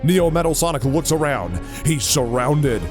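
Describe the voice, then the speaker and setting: Man, scripted story narration, lightly raised pitch, music behind. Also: expressive, a little slow, darkish tone.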